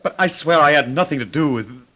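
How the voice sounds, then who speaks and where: A man's voice: fairly high pitch, fairly quick, bright, forceful tone, dull audio. An actor playing an earnest, shocked male secretary in melodramatic old-time radio style. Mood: horrified, earnest.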